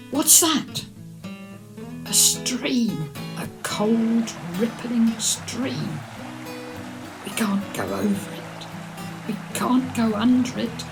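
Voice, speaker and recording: A woman reading for young children in an expressive, deliberate, sing-song storytelling manner. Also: mid-range voice.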